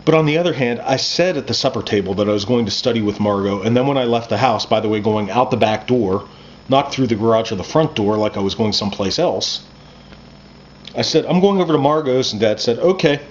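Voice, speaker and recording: A man, an author reading his own first-person coming-of-age fiction aloud in a conversational, expressive way. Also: mid-range voice, a little fast. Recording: muffled lo-fi with background noise.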